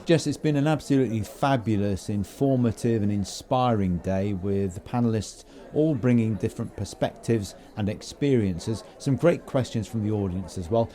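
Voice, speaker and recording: A man's voice: on the low side, normal speed, hardly any pauses, mellow. A man hosting podcast interviews at an event in a conversational, affirming manner. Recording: busy venue, people chattering behind. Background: crowd chatter.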